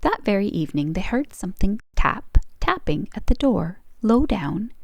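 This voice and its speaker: A woman's voice: mid-range voice, sing-song, moderate pace, darkish tone, no echo. A woman reading a scripted folk tale as a storyteller, in storybook narration style. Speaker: storyteller, channel host.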